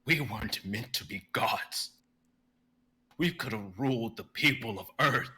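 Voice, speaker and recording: Bitter, scrawny old immortal doctor voiced by a man, bright and sharp, slow and swinging in pitch. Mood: furious. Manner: furious, accusing. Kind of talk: live dramatic script reading.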